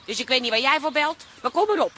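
An actress playing a bossy, exasperated but warm-hearted sister in a radio play, blunt and weary. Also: female voice, high-pitched, expressive, fast talker. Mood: irritated, weary.

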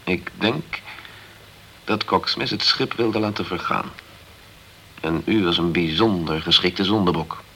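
An actor playing a sceptical male investigator in a radio crime drama, composed and persistent, with measured, pointed questioning. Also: sits low, gravelly, sharp tone, slight background noise, exaggerated voice. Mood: suspicious, composed.

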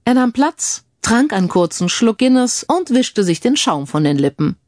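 A woman's voice: a little high, sing-song, moderate pace, darkish tone, no echo, clean studio sound. A woman reading scripted narration for a crime audiobook.

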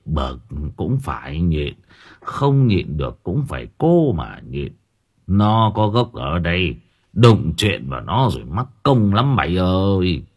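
A man voicing a kindly, resigned old labourer in an audio story, gentle, cautious and fatherly. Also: deep voice, sing-song, slow, husky, voice pushed down, exaggerated voice. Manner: gentle, worried, advising patience.

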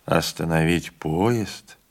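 A man voicing an older train conductor who speaks quietly, slowly and firmly with calm dignity. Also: deep voice, pitched below the performer's own voice. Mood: calm grave.

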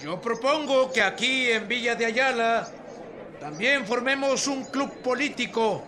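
An actor playing an earnest, persuasive rural reform campaigner with a Mexican accent in a radio drama. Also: male voice, very high voice, a little slow, crowd noise.

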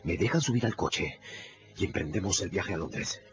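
Resolute lawyer, dramatically expressive. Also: male voice, low-pitched, normal speed. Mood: urgent, commanding. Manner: imperative, intense, theatrical delivery. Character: resolute self-sacrificing male lawyer, commanding and urgent. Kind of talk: Spanish-language radio drama acting. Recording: dull radio recording with loud music.

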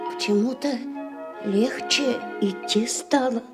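An actress playing a tender, joyful old peasant wife in a Russian audio fairy tale, speaking in a cooing way. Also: female voice, a little high, takes it slowly. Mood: wondering, happy. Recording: studio radio play with music behind.

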